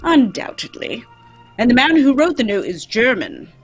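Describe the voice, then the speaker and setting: Woman as confident male radio detective, high voice, lively swings, courteous, brisk and instructive, music behind. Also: breathy edge, full character voice. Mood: keen, instructive. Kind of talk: live radio play reading, old-time radio acting.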